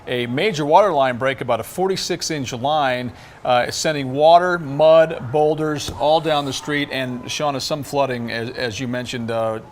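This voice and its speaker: A man's voice: medium pitch, normal speed, a little hiss or hum. A man anchoring live breaking news, speaking in an ad-libbed, conversational way.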